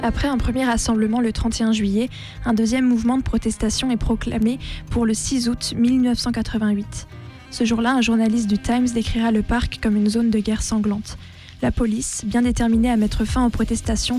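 A woman's voice: on the high side, little pitch movement, moderate pace, mellow, soft background music. A woman reading scripted documentary narration.

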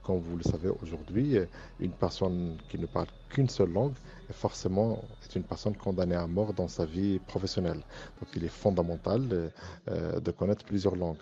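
A middle-aged man speaks in a low, dark voice, earnest and measured, pausing often on hesitant fillers. The recording sounds dull and lo-fi, with faint music underneath. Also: gravelly. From Morocco. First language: Arabic. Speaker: university professor and engineering director. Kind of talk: interview testimony for a student podcast.

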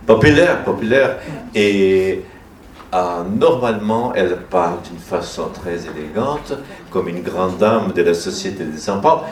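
A man whose first language is Portuguese, speaking French at a panel and halting as he searches for words. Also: low-pitched, lively intonation, reverberant, recorded from a distance, a little hiss or hum. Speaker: novelist. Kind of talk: panel discussion.

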